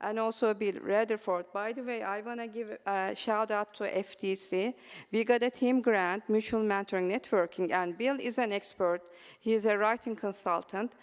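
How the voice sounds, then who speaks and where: Female presenter, Turkish accent, smooth voice, encouraging, filler-heavy delivery in a reverberant hall. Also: medium pitch, steady tone, moderate pace. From Turkey. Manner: frequent um and uh fillers, earnest and encouraging. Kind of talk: conference presentation. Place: symposium hall.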